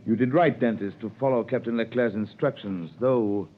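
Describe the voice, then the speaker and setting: An actor playing a businesslike middle-aged shipowner in a 1930s radio drama, measured in manner, on a tinny, hissy old recording. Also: male voice, mid-range voice, natural voice.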